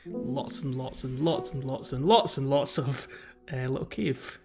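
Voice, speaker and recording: A man's voice: mid-range voice, expressive, a little slow, darkish tone, soft background music. A man co-hosting a casual video game podcast, talking in a chatty, rambling way and often correcting himself. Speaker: podcast co-host.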